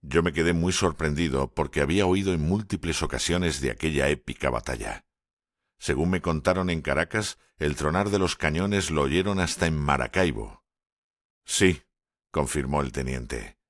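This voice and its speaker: A man narrating an audiobook in the first person, in a measured, steady reading. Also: rumbling low, no echo, clean studio sound.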